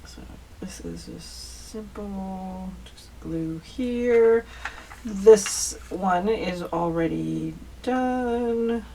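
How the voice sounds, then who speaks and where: A woman's voice: mid-range voice, extremely slow, vocal fry, mellow. Woman, craft tutorial host, informal and chatty, step-by-step explaining, distant mic, faint background noise. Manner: chatty, informal, addresses viewers as you guys. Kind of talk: casual step-by-step craft demonstration.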